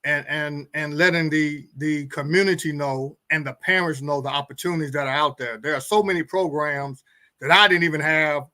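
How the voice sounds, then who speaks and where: A man's voice: fairly high pitch, fairly even intonation, normal speed, pushed and bright. A middle-aged man speaking earnestly as a guest on a talk show, often repeating words as he forms his thoughts. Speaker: guest pastor and co-host.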